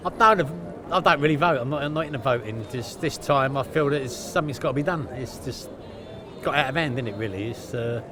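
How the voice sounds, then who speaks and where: A man's voice: mid-range voice, brisk, music in the background. A man talking bluntly and with frustration to a street interviewer. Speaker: passer-by.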